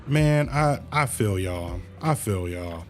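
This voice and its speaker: A man's voice: on the low side, expressive, takes it slowly, darkish tone, faint music behind. A man livestreaming a video game with casual, slangy commentary. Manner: slangy, informal. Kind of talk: casual game stream commentary, reading dialogue aloud.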